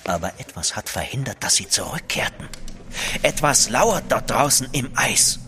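An actor in a German audio drama playing a driven gentleman writer, dramatic and insistent, sometimes hushed. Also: medium pitch, lively intonation, normal speed, slight background noise. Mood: ominous. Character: intense, driven gentleman writer pleading for help.

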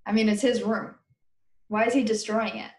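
A woman's voice: a little high, flat delivery, normal speed, darkish tone. A woman teacher reading a children's book aloud, stopping to question and explain things to her pupils. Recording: roomy indoor echo.

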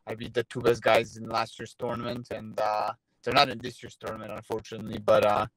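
Relaxed man on a phone call from his car. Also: on the low side, fairly quick, no echo. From Poland. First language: Polish. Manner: relaxed, many fillers and restarts. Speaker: interviewee, fighter. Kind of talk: casual video-call interview. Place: parked car. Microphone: phone held at arm's length.

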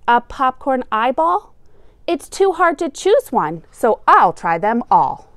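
A middle-aged woman reads a children's story with a teacher's deliberate expression. Her voice sits a bit high and moves at a measured, slowish pace, leaning on key words. The sound is close and dry. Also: dry recording. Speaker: second grade teacher reading aloud. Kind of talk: children's picture book read-aloud.

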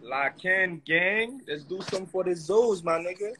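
A man's voice: sits high, expressive, normal speed, pushed and bright, slight background noise. A man with a Haitian accent, a guest rapper on a video call, hyped up and mixing English with Creole and French. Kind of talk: casual livestream chat. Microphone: phone on a video call.

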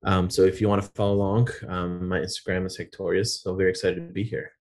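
Deep-ish male educator, formal and earnest, fillers between phrases. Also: flat delivery, fast, darkish tone. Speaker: design educator and program director. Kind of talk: webinar presentation.

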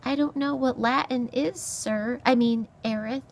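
A woman's voice: steady tone, a little slow, gravelly. A woman voicing a small, timid young female creature in a storybook, plaintive and pleading. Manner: high, plaintive, stammering pleas.

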